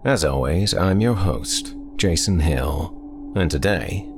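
A man hosting a horror podcast, reading a scripted introduction in a deliberate, theatrical and ominous way. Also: low voice, lively intonation, background noise. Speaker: podcast host.

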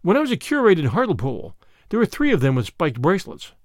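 A man's voice: fairly high pitch, huge pitch swings, quick pace, no room sound. Guy doing a timid little country priest, vague n apologetic, unaffected, storybook, dry close sound. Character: small, mild, vague country priest, male, shrewd and apologetic.

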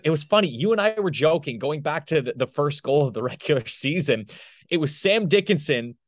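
A man's voice: on the high side, no room sound, clean studio sound. A man co-hosting a sports podcast in casual, loose analysis, rephrasing as he goes.

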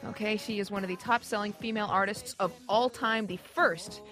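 A woman's voice: medium pitch, fairly even intonation, a little fast, fairly bright tone, faint music behind. An American woman hosting a radio show, asking questions in a conversational interview style.

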